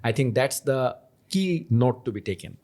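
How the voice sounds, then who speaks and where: A man's voice: mid-range voice, expressive, moderate pace, a little mellow. A man from Bangladesh, Bangla his native language, hosting a casual chat, echoing his guest's points and stumbling over words now and then. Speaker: host. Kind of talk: casual podcast conversation.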